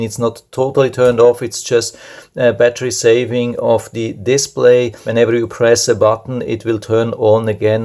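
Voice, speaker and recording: A man's voice: medium pitch, darkish tone. A man giving an unscripted hands-on product demonstration, explaining step by step in a repetitive way. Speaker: product reviewer.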